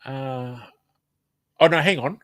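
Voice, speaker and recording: A man's voice: mid-range voice, slow pace, dry recording, clean studio sound. An Australian man with hay fever and a blocked nose, casually reading a live stream chat aloud, hesitant and apologetic, with lots of ums.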